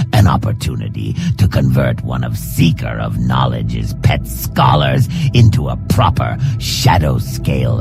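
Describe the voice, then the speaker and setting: An extremely low, gravelly voice for a proud lizardfolk assassin trainer in a fantasy game. He speaks slowly and formally, with stern, hardened authority. Loud music plays behind him. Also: heavily acted. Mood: stern, menacing. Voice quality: gravelly, growling. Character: hard, proud male Argonian reptilian assassin combat trainer. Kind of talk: fantasy game character dialogue.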